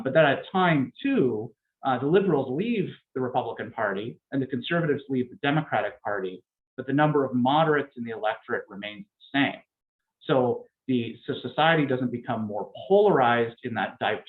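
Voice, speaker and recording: A man giving an academic political science lecture, explaining in a measured way with frequent fillers. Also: mid-range voice. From Canada. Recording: tinny phone-like lecture recording.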